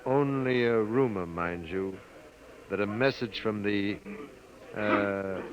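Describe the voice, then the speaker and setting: A smooth, courteous science writer. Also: male voice, lowish voice, takes it slowly, dull audio. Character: polite, formal male science writer. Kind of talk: 1950s radio drama acting. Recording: scratchy, hissy old radio recording.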